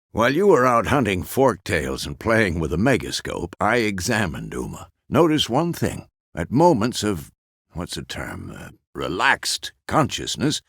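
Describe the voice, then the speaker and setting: A gruff old monster-hunter mentor from a fantasy game. His gravelly, husky voice swings freely in pitch, and he speaks in terse, wry phrases. The recording is close and dry. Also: fairly bright tone, no room sound, exaggerated voice. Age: older. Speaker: video game voice actor. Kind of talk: scripted video game character dialogue.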